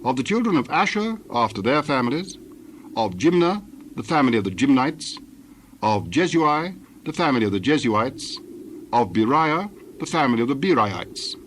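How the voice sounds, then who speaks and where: A man's voice: medium pitch, sing-song, a bit bright. Man formally narrating scripture over background noise. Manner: formal, measured recitation. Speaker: Bible narrator. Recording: clear ambient noise behind narration.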